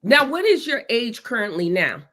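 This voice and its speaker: A woman's voice: a little high, sing-song, moderate pace, fairly bright tone. A woman interviewing guests in a conversational style.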